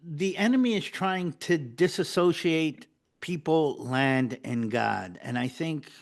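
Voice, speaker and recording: A man from Israel, hosting a casual panel discussion and asking earnest, halting questions. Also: fairly high pitch, expressive, gravelly. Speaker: host.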